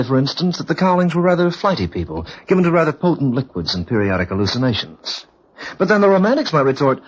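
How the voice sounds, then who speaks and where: An actor playing a mysterious, knowing host who narrates an old radio anthology in a wry, measured way. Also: male voice, medium pitch, husky. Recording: muffled old radio recording.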